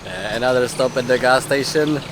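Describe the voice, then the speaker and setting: A man talking casually and unscripted as a travel vlogger, haltingly thinking aloud as he goes. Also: medium pitch. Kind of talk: casual, unscripted vlog talk. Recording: noisy outdoor and in-car vlog audio.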